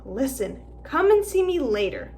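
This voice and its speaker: Gruff secretive giant gamekeeper, read by a woman, clipped and rough, highish voice. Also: sing-song, measured pace, a little mellow, slight background noise, exaggerated voice. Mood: hushed urgent.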